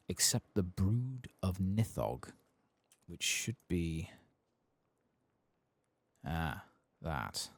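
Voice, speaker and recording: Very deep, soft-spoken man, slow and gravelly. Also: no echo. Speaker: ASMR gaming streamer. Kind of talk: soft-spoken ASMR game playthrough commentary.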